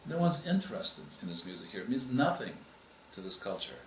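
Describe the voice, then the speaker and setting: A male author answering interview questions, explaining in a measured way and often restarting his phrases. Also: fairly low pitch, very animated, a bit bright, a little hiss or hum. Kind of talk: interview answers on writing craft. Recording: echoey room with faint ambience.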